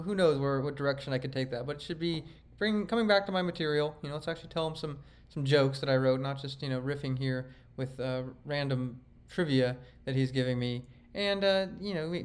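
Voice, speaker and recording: A man, a comedian, talks through his own stand-up set in a reflective, self-critical way, rambling with frequent restarts. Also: mid-range voice. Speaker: comedian and vlogger.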